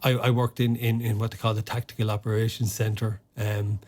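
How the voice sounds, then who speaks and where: A man's voice: on the low side, flat delivery, fairly quick, darkish tone. An Irish man talking as a podcast guest in a casual interview, often stopping and restarting his sentences with fillers. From Ireland. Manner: hesitant, many fillers and restarts. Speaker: podcast guest, former soldier.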